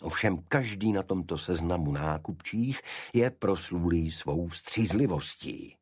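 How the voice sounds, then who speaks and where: A man voicing a shrewd, serious Victorian detective in an audiobook, speaking deliberately and pointedly. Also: sits low, a little mellow, breathy edge, no echo, studio quality. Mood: intrigued, deliberate.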